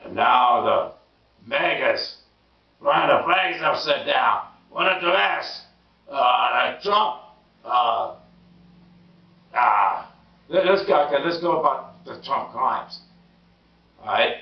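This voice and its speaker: A hoarse man who stammers and repeats words, giving an emphatic casual political monologue. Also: lively intonation, takes it slowly, long pauses. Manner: emphatic, rising pitch when indignant. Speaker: political commentary vlogger. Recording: roomy distant lo-fi sound.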